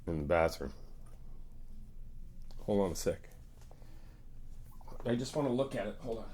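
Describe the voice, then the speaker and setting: A man's voice: on the low side, expressive, unhurried, darkish tone, husky. A man playing an easygoing, helpful friend in an ASMR roleplay, talking in a casual, reassuring, conversational way. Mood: mellow, thoughtful. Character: easygoing, helpful male friend helping someone move in.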